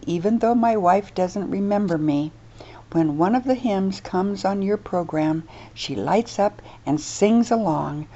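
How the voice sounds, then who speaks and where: Female radio ministry host: low-pitched, mellow, earnest and gentle, dull lo-fi sound. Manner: gentle, earnest, softly preaching. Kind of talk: scripted radio devotional.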